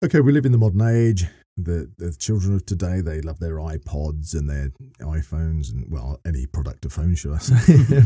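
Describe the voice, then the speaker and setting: A middle-aged man with a very low, dark and slightly husky voice talks on a podcast. He explains things earnestly and at length, often rephrasing as he goes. The sound is close and dry. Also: no room sound. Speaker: podcast guest, voice actor and narrator.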